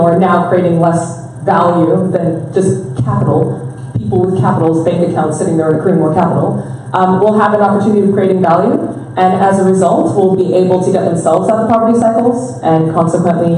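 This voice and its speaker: A woman's voice: on the low side, mellow, slight background noise. A woman speaking on a panel, thoughtful and hesitant, with many fillers. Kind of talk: panel discussion. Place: event venue. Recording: echoey hall, distant mic.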